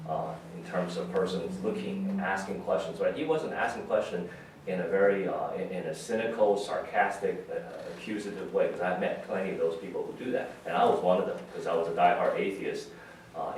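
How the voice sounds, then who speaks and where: A man speaking as a pastor, giving a conversational memorial sermon in a halting, informal, reflective way. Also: sits low. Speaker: pastor giving a funeral message. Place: large reverberant room at a memorial service. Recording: very echoey hall, distant, faint noise.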